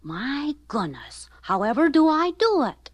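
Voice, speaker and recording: A woman's voice: high voice, sing-song, unhurried, dry recording, voice pushed up. A woman voicing an innocent, eager little boy bear in a children's story, exclaiming with wonder. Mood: puzzled. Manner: wide-eyed, exclaiming.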